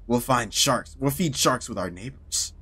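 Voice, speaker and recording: Man putting on a low grave voice, cold cannibal killer, slow, headset mic. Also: bright, forceful tone, slightly rough. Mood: dark.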